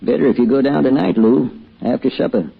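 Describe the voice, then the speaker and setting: An actor playing a gentle, reflective old grandfather in a folksy, musing way, in an old-time radio drama. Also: mid-range voice, fairly quick, gravelly, slightly rough. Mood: gentle urging. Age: older. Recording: tinny band-limited old radio, music behind.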